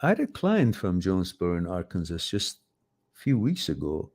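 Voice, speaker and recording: A man's voice: low-pitched, wildly swooping pitch, normal speed, darkish tone. A middle-aged man, a Farsi speaker, hosting a live advice stream and reading out viewer questions, rambling and often correcting himself. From Iran. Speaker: host.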